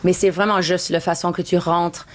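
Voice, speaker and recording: Anglophone woman comedian in French, lowish voice. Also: little pitch movement, normal speed. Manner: second-language French, mixes in English words, frequent restarts. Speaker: comedian guest. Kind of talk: casual interview chat.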